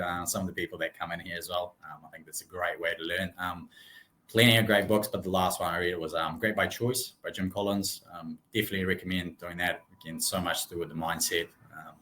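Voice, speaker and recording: A man in his thirties, born in Russia with Russian as his first language, chatting casually as an interview guest on a video call, relaxed and friendly with frequent ums. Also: deep voice, a little fast. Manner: relaxed, friendly, many ums, laughs easily. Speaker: real estate branch manager, guest.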